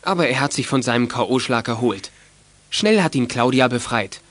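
A man's voice: medium pitch, expressive, moderate pace, fairly bright tone, no echo. A man narrating a youth detective audio drama as a scripted storyteller.

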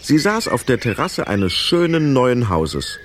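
A man's voice: mid-range voice, very animated, unhurried, a little hiss or hum. A man narrating a children's radio play as a scripted storyteller.

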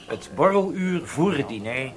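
An actor playing a smooth, polite German ex-officer in a radio drama, speaking formally and courteously. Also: male voice, on the high side, animated, unhurried, a crowd in the background.

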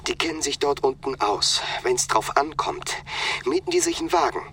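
An actor playing a worried male friend and former military pilot, speaking quietly and earnestly in a radio play. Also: medium pitch, expressive, moderate pace, a little hiss or hum, close to an everyday voice. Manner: quiet, earnest, partly whispered.

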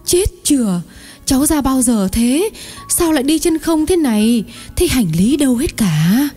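A woman's voice: a little high, animated, measured pace, mellow, soft background music. A woman playing a kind-hearted, chatty older village aunt who exclaims and sighs in an audio story. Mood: startled. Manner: exclaiming, chatty, sighing over misfortunes. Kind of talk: acted dialogue in an audio story.

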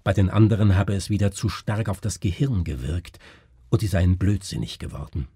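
A man reading a gothic story as scripted narration, with a husky voice and a grave, theatrical manner. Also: low-pitched, warm tone, no room sound, clean studio sound. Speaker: reader of a literary story.

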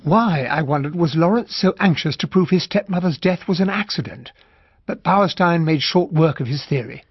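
An actor with a British accent playing an observant gentleman narrator, telling a mystery in the first person for a radio drama. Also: a little high, animated, normal speed, slightly rough, no echo. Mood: reflective. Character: observant gentleman narrator recalling a murder inquest. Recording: dry, dull, band-limited radio recording.

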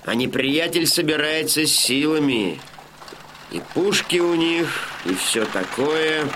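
Slow, high-pitched Cossack warrior. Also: male voice, animated, noisy background. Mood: sober, resigned. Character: sober Cossack warrior weighing hard odds. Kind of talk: Russian radio drama acting.

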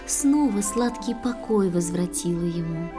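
A woman's voice: fairly low pitch, lively intonation, unhurried, music in the background. A woman narrating a poetic tale for children in tender, scripted verse recitation.

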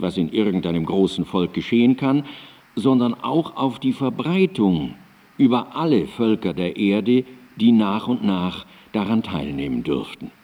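Radio drama role: aged Enlightenment thinker, low dark voice, solemn, deliberate, emphatic reasoning. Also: male voice. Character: elderly male Enlightenment philosopher, solemn and reasoning. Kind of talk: radio drama, declaimed philosophical writing. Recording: long hall-like reverb on cassette.

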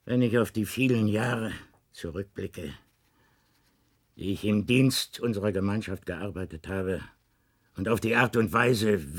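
A man acting in a science fiction radio play as the grave, lonely president of an underground state, speaking in a formal, measured, solemn way with weighted pauses. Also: fairly low pitch, husky, heavily acted. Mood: resigned, sorrowful.

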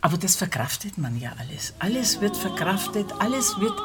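A woman's voice: mid-range voice, very animated, normal speed. A woman singer talking reflectively in an interview. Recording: loud opera music over the voice. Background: loud opera music.

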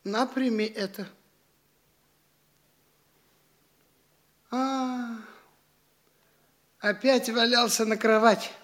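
Young dandy master: high voice, peevish and haughty, bossy yet feckless, classic radio comedy. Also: male voice, steady tone, slow, a bit bright, exaggerated voice. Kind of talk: classic Russian stage comedy acting for audio play.

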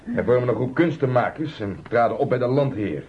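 An actor playing a wary, authoritative leader of travelling performers in a radio drama, speaking curtly. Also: male voice, medium pitch, expressive, brisk, a crowd in the background, natural voice. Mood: businesslike. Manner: curt, authoritative. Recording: dull recording with clear background noise.

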